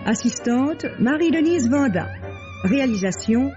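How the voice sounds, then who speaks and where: Woman radio announcer over loud music, muffled. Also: high-pitched, animated. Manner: measured, formal, list-like reading. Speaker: radio announcer reading credits. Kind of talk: formal vintage radio announcement. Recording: dull lo-fi vintage radio recording.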